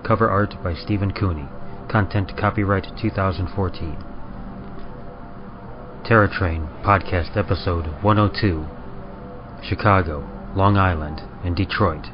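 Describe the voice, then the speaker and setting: A man's voice: low-pitched, unhurried, pauses often. A man reading scripted production credits as a podcast narrator. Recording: muffled audio under music.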